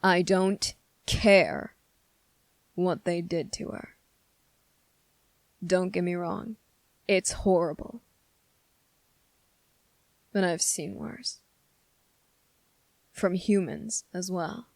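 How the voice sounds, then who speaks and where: An actor playing a brooding, menacing demon with a hidden moral code in an intimate ASMR roleplay, speaking in slow, deliberate, taunting phrases with a gravelly, at times breathy voice. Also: mid-range voice, steady tone, no room sound. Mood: defensive, brooding. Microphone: close, dry microphone.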